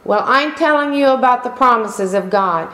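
A middle-aged woman pastor delivering a sermon in slow, emphatic preaching style, calling out for amens. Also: on the high side, lively intonation, bright, forceful tone. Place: church sanctuary. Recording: echoey room.

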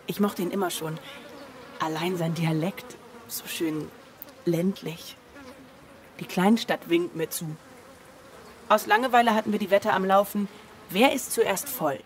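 An actress in a radio play voicing a female digital device, measured, reflective and a little detached. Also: fairly low pitch, a little hiss or hum, lightly acted. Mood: fond. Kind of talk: German radio play acting.

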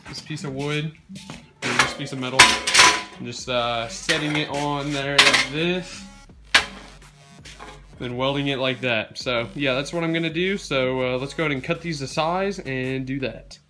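A man's voice: fairly high pitch, steady tone, takes it slowly, pauses often, very noisy. A young man casually narrating a DIY build in his home garage, talking with many ums and uhs while he films on a handheld phone. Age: young adult. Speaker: DIY vlogger.

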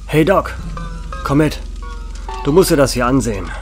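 Man acting a blunt, exasperated sheriff, animated delivery. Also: medium pitch, normal speed, soft background music, natural voice. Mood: grim, subdued. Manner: commanding, blunt, swearing. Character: gruff small-town male sheriff, exasperated and rattled. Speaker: actor in an audio drama. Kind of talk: German horror radio play acting.

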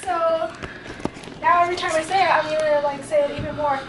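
Very high-voiced giggly young woman. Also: steady tone, a little fast, background noise. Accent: Mexican American. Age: 20s. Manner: giggly, bubbly, teasing. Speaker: vlogger. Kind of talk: casual home vlog chat. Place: small apartment indoors. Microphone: camera held by someone nearby.

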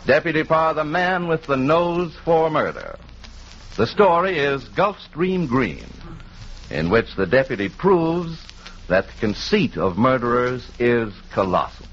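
A man giving a formal, measured scripted announcement in the style of 1940s radio. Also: mid-range voice, sing-song, fairly bright tone, a little hiss or hum. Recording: muffled 1940s radio recording with music.

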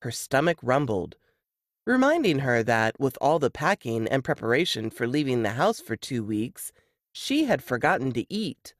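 A man's voice: medium pitch, big pitch swings, normal speed, no echo, studio quality. A man reading scripted suspense fiction as an audiobook narrator.

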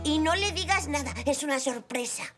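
A woman's voice: sits high, fairly quick, over loud music, natural voice. A woman voicing a kind, curious five-year-old zebra boy. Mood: eager, thoughtful. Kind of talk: children's cartoon dub.

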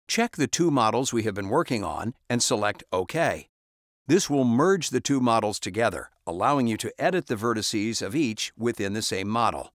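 Man narrating a software tutorial. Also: mid-range voice, no echo, clean studio sound. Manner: calm step-by-step instructions. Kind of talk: scripted instructional voice-over.

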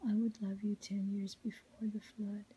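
A woman softly reading poetry in a breathy whisper, ASMR style, with a measured, melodic recitation. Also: medium pitch, little pitch movement, lo-fi sound. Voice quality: soft unvoiced whisper, breathy.

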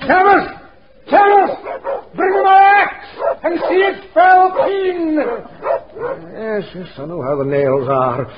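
An actor playing an imperious, eccentric old laird who mutters and barks orders in a radio drama. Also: male voice, extremely high pitch, expressive, slow pace, long pauses, exaggerated voice. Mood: imperious, irritable. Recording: dull lo-fi radio drama, faint noise.

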